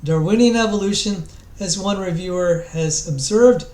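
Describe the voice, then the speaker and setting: Man putting on a high-pitched, grandly theatrical book declaimer. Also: darkish tone, roomy sound, lightly acted. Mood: grandly solemn. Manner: theatrical, slow dramatic pauses, stumbles and repeats words. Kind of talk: mock-dramatic reading aloud.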